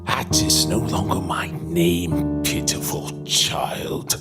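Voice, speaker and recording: A man's voice: low voice, takes it slowly. An actor playing a menacing, sardonic demon in a possessed man's body in an audio horror drama. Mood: scornful. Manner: sardonic, taunting, theatrical. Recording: music under the voice.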